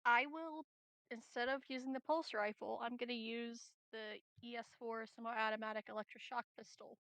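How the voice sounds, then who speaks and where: Creaky-voiced woman gamer. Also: on the high side, steady tone, moderate pace, fairly bright tone, no room sound. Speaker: player in a tabletop role-playing game. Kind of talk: casual game chat.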